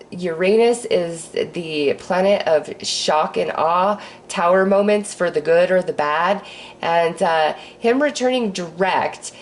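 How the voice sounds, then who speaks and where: A woman with a hoarse voice from a cold, giving a casual, upbeat astrology forecast while pulling tarot cards. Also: on the low side, darkish tone, a little hiss or hum. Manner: breezy and upbeat, with sighs and fillers. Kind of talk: casual spiritual forecast with card pulls.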